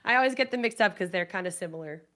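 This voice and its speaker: A woman's voice: medium pitch, expressive, very fast, fairly bright tone. A woman chatting casually as a talk show guest, enthusiastic and informal, swearing freely. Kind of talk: casual chat.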